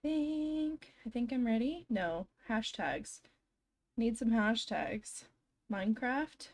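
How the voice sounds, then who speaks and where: A young woman live streaming from home, her voice a little hoarse and froggy after being ill. She sits somewhat high in pitch and talks slowly, drifting through casual chatter with her viewers and laughing often. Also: lively intonation, a little mellow. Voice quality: slightly scratchy, froggy. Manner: chatty, giggly, talks to chat. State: hoarse, recovering from illness. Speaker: game streamer. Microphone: desk microphone.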